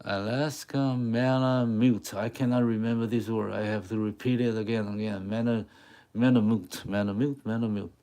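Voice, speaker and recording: A man whose first language is Mandarin Chinese, speaking English with a Mandarin accent, teaching chalkboard drawing live in halting, word-searching English. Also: fairly low pitch, fairly even intonation, mellow. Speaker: art teacher.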